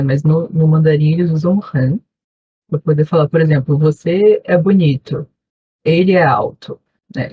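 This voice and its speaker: A woman's voice: low voice, breathy edge, no echo, highs cut off. A woman with a Brazilian Portuguese accent, a volunteer teacher giving an online class review, explaining patiently and checking in often with her students. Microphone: video call.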